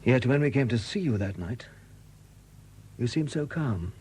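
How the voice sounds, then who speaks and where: An actor in a 1970s British radio drama playing a composed, probing chief superintendent who questions in a measured way. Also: male voice, mid-range voice, lively intonation, a little mellow, slight background noise, natural voice. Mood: calm, insistent.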